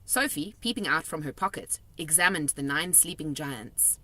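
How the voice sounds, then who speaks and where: Bright, lively woman reading a storybook. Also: sits low, moderate pace, dry recording. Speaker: storybook reader. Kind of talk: expressive children's story narration, read aloud.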